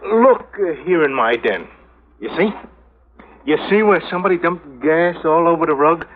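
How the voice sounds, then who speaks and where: An actor playing a jittery, evasive small-time crook in a 1950s radio drama, stammering in rough street grammar. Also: male voice, fairly high pitch, animated, moderate pace, lightly acted. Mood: flustered, defensive. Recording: tinny, slightly noisy vintage radio recording.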